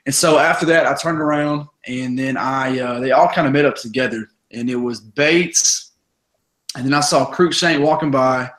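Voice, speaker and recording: A young man with a raspy voice hosts a laid-back sports podcast. He talks with energy and chats freely, with plenty of fillers. His pitch sits in the middle for a man. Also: moderate pace. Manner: energetic, chatty storytelling with many fillers. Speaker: podcast host. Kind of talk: casual sports podcast chat.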